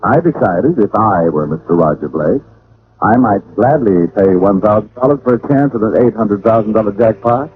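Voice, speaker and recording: A man's voice: fairly low pitch, expressive, moderate pace, breathy edge. An American actor playing a witty, sardonic private detective who narrates his case in old-time radio style. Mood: wry narration. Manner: wry, sardonic. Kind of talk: 1940s-50s radio detective acting and narration. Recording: muffled, hissy vintage radio recording.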